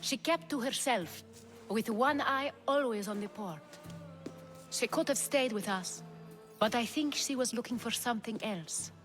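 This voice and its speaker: Proud, high-voiced Greek leader. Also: female voice, measured pace, fairly bright tone, faint music behind. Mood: reflective. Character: proud, commanding female leader in ancient Greece. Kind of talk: video game cutscene acting.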